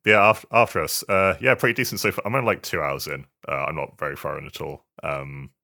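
A man with an extremely low voice and a bright, forward edge chats casually as a podcast co-host. He speaks haltingly, often doubling back on his words as he describes things. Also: steady tone, creaky. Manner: hesitant, halting delivery with repeated words. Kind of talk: casual video game podcast chat.

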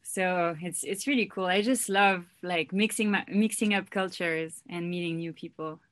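A woman's voice: on the low side, moderate pace. A young woman from France, a singer talking casually in an interview, full of "like" and "you know". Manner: relaxed, loose, heavy with "like" and "you know". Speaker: singer and songwriter guest.

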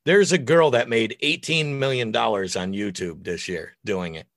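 A middle-aged American man with a deep voice, chatting casually and bluntly on a podcast as an opinionated, foul-mouthed guest. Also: moderate pace, fairly bright tone, no room sound, studio quality. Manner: opinionated, blunt, crude joking. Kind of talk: casual, profane podcast chat.

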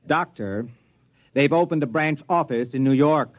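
An actor playing an anxious, excitable sociology professor in a 1950s radio drama. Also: male voice, a little high, unhurried, natural voice. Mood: alarmed, incredulous. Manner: excitable, incredulous questioning. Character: anxious male sociology professor, exasperated and increasingly alarmed narrator. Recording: dull vintage radio recording, music behind.

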